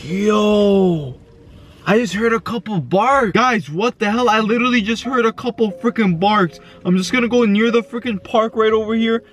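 A man in his twenties filming an excited vlog from his parked car, talking fast and exclaiming often. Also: high voice. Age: 20s. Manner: hyped, exclamatory, lots of yo and oh my god. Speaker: YouTuber. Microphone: camera facing him in car.

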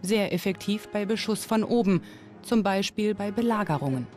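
A woman presenting a history documentary in scripted, clearly articulated and explanatory narration. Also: medium pitch, expressive, moderate pace, a little mellow, soft background music. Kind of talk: scripted documentary narration with on-location interview questions.

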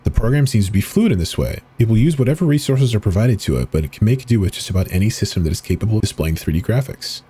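A man giving a slow, deliberate dramatic reading of forum posts and web pages in an ominous tone. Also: on the low side, expressive, dark tone, breathy edge. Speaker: channel host reading horror texts.

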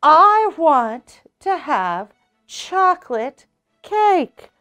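A woman voicing a stubborn little girl bunny in a storybook, with drawn-out, childlike, emphatic protests. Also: sits high, very animated, sharp tone, dull audio, soft background music, pitched above the performer's own voice, exaggerated voice. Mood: whiny, upset.

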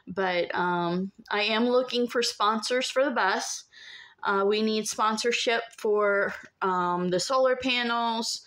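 Casting: middle-aged female vlogger, mid-pitched voice, informal and halting, talking into a handheld phone. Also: fairly bright tone. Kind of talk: casual update to camera. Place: inside an empty converted school bus. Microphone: phone at arm's length.

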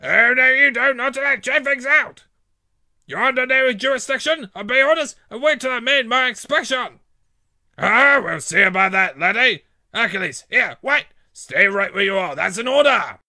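A man playing a pompous, bossy cartoon navy tugboat, with a gravelly, forceful voice and a military barking manner. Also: very high voice, animated, moderate pace, no echo, clean studio sound, full character voice. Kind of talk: cartoon character voice acting.

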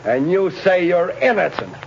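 An actor playing a hard, accusing police sergeant with a commanding, barking manner, in 1930s radio drama style. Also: male voice, high voice, lively intonation, moderate pace, creaky, a little mellow. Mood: stern, accusing. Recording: dull, hissy vintage radio recording.

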